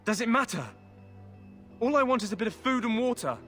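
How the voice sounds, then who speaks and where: An actor playing a cheeky, scheming soldier jailed for desertion, wheedling and sly, in a video game. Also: male voice, extremely high pitch, animated, brisk, sharp tone, soft background music, exaggerated voice. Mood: sly, evasive.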